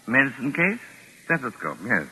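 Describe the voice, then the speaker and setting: An actor playing a jaunty, talkative English gentleman writer in a 1940s radio thriller, with an urbane, wry, excitable manner and a British accent. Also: medium pitch, normal speed, husky, heavily acted. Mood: genial. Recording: noisy vintage radio broadcast recording.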